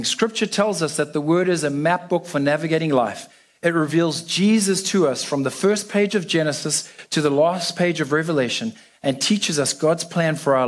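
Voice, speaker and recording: A young South African man giving a casual, joking sermon to a church congregation. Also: fairly high pitch. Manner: casual, self-deprecating, chatty with fillers. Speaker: guest preacher.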